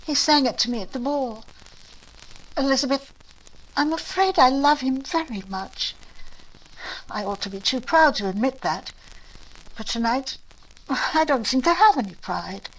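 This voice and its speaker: Woman as a high-voiced, gentle heartbroken young lady. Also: lively intonation, unhurried, pauses often, full character voice, rough recording. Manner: soft-hearted, sincere, plaintive. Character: gentle, heartbroken, earnest young Regency elder sister. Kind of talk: recreated 1940s radio play acting.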